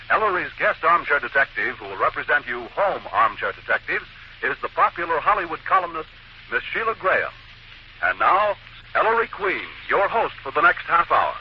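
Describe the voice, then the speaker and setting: An actor playing a formal, declamatory announcer on a 1940s radio detective show. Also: male voice, on the high side, big pitch swings, a little fast. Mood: grand, formal. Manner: grand, declamatory delivery. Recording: dull, noisy old radio broadcast.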